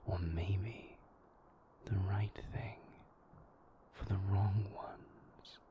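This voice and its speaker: A man playing a moody, brooding vampire in an intimate audio roleplay, hushed and gravelly, speaking deliberately with weary, dry wit. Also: exaggerated voice. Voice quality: breathy, hushed, gravelly low voice. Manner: slow, deliberate, formal wording, dryly wry.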